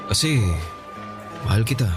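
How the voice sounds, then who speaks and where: A man's voice: mid-range voice, normal speed, music in the background, natural voice. An actor voicing a remorseful young man who pleads hesitantly and earnestly for another chance in a radio drama. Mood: pleading. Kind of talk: Tagalog radio drama acting.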